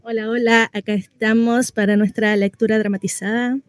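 A woman, a radio guest explaining a reading and pausing to search for words. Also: fairly high pitch, flat delivery, no echo. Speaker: guest reader on radio show. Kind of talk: introducing a dramatic reading.

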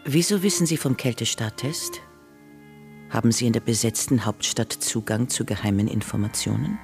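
Herrische, tiefe Verschwörungsanführerin mit Wiener Hörspielton. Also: female voice, a bass voice, normal speed, darkish tone, soft background music. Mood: earnest, secretive. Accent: Austrian. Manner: stern, formal, earnest, imperious. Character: commanding, conspiracy-believing wife leading a separatist group. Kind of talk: radio drama acting.

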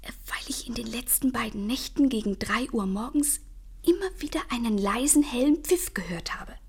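An actress playing an affectionate but frightened thirty-year-old sister in a German radio play, with a breathy voice. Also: female voice, fairly high pitch, expressive, normal speed. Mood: hushed, uneasy. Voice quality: breathy, hushed.

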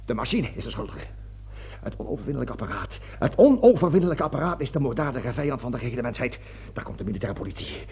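Blustering, cowardly colonel, crisply spoken. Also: male voice, medium pitch, very animated, fast, darkish tone, heavily acted. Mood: urgent, conspiratorial. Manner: blustering, stammering, pleading, repeating himself. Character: panicking, cowardly, self-justifying male army colonel. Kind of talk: theatrical radio drama acting. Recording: dull lo-fi old radio recording.

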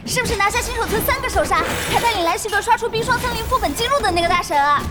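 Girl gamer: eager, starstruck, extremely high, quick, over loud music and crowd noise. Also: expressive. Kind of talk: audio drama acting.

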